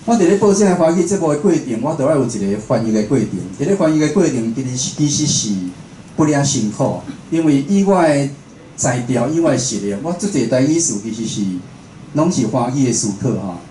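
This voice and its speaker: A man's voice: mid-range voice, animated, fairly quick, warm tone. A man with a Taiwanese accent, a radio host, gives an informal talk in a conversational way, putting rhetorical questions to his listeners. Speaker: radio host and station director giving a talk. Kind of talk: informal spoken lecture. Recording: echoey room with faint background noise.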